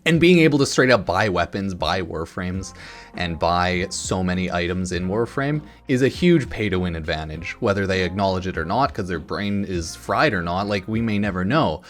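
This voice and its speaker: A man with a fairly low voice talks through his opinions in a casual, rambling way, like a gaming creator at his microphone. His delivery is informal and sweary, and music plays behind him. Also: expressive, moderate pace, fairly bright tone. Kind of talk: casual opinion commentary, reading out online comments. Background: music in the background.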